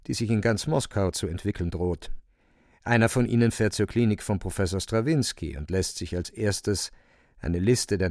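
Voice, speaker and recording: A male radio-play narrator with a fairly low voice in a very dry studio. He tells the story theatrically, with emphasis and a wry edge. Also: a little mellow, no room sound.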